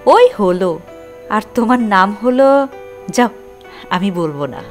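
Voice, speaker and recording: A woman's voice: mid-range voice, lively intonation, slow, soft background music. A woman playing a naive, shy young orphaned bride with a plaintive manner in an audio drama. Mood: shy, teasing. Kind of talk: Bengali audio drama acting.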